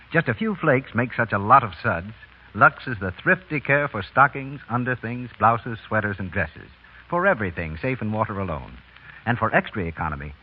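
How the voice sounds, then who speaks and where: An American man announcing and pitching in 1930s radio commercial style, with emphatic salesman phrasing. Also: husky. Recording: scratchy narrowband 1930s radio broadcast.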